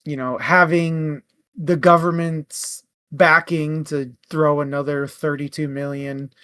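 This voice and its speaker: A man co-hosting a book club podcast, giving his opinion casually and haltingly, as if thinking aloud. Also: a little high, flat delivery, fairly bright tone, no echo. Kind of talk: casual book review talk.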